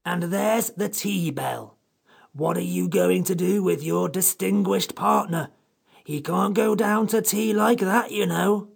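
Ancient sand-fairy for children's storybook: high, husky croak full of fry, knowing, grumpy, deliberate. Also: male voice, full character voice. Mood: brisk, dry. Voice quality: gravelly, croaky. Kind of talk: dramatized children's storybook reading.

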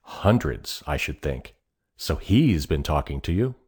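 Guy doing big hearty well-off old-fashioned gent, loud n bossy, husky, voice swings about. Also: extremely low pitch, very animated, full character voice. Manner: loud, brisk, emphatic. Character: stout prosperous hearty visitor, bluff and bossy.

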